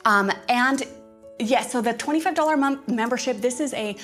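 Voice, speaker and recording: A woman's voice: on the high side, brisk, fairly bright tone, faint music behind. A woman hosting a casual live-stream update for members, talking in a chatty, informal way. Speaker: host, homeschool curriculum creator.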